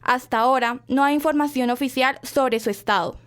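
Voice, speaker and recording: A woman's voice: high-pitched, brisk, lightly acted. A woman playing a formal 1960s radio newsreader delivering an urgent breaking news bulletin in an audio drama. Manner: formal, announcing.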